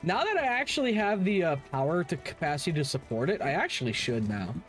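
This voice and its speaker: A man's voice: a little high, sing-song, fast, fairly bright tone, faint music behind. A man doing casual live game stream commentary in a blunt, opinionated manner. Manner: blunt, opinionated, heavy swearing.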